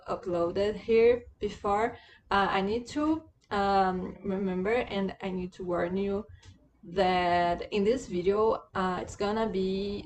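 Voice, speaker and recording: A woman's voice: mid-range voice, a little hiss or hum. A young woman, an English speaker, giving a hesitant tutorial with many fillers and restarts. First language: Portuguese. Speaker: language learner vlogger. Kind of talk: casual screen-share tutorial.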